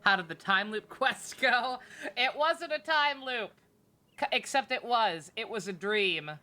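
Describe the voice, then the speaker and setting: A woman's voice: fairly high pitch, expressive, a little slow, pushed and bright. A woman livestreaming a game, chatting casually with viewers in a sweary, wry, sarcastic way. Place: indoor game streaming setup, game music behind.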